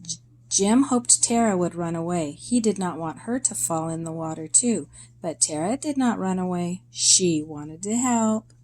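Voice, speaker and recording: A woman reading a children's story aloud in a deliberate, expressive way, in short phrases with pauses. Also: lowish voice, a little hiss or hum.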